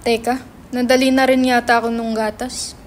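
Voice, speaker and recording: Woman acting exasperated, high-voiced office guy. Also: level tone, normal speed, slight background noise, natural voice. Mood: cynical, impatient. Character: exasperated, foul-mouthed male office partner, cynical and pragmatic. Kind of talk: dramatic reading of a play.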